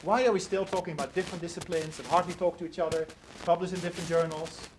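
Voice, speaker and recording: A middle-aged man lectures at a quick clip, earnest and prone to restarting words mid-thought. His voice sits a little high for a man. The recording carries clear background noise from the hall. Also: fairly even intonation. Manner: earnest, repeats words while searching for phrasing. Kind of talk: academic lecture and Q&A answers.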